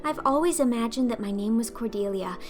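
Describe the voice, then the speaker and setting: A woman's voice: on the high side, fairly quick, faint music behind, natural voice. A woman voicing a talkative, imaginative eleven-year-old orphan girl in an audiobook, theatrical and earnest in her pleading. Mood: eager, pleading. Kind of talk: audiobook character acting.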